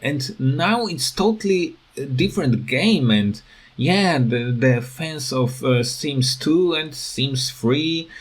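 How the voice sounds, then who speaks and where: A tired man who is not a native English speaker, giving a casual unscripted rant in a halting, rambling way that repeats words. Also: mid-range voice, lively intonation. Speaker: gaming video creator.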